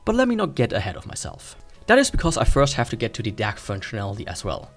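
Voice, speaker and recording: A man's voice: mid-range voice, wildly swooping pitch, fast, faint music behind. A man gives a scripted tech review in an explanatory, plain-spoken way.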